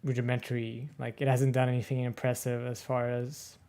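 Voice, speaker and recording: A man's voice: medium pitch, monotone, a little fast, mellow. A man streaming a game, reacting and chatting casually during a let's play.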